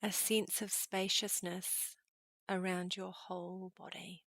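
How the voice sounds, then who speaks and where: Slow, hushed woman guiding meditation. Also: fairly low pitch, no room sound. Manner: calm, gentle, unhurried phrasing with soft trailing endings. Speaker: meditation guide. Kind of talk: guided meditation, slow soothing narration.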